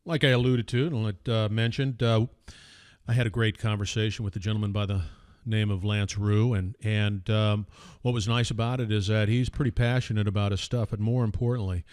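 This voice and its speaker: A man's voice: fairly low pitch, normal speed, clean tone, no echo. Male podcast host, big resonant voice, chatty and filler-heavy, dry booth sound. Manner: energetic, many fillers and restarts. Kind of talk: casual podcast intro and interview.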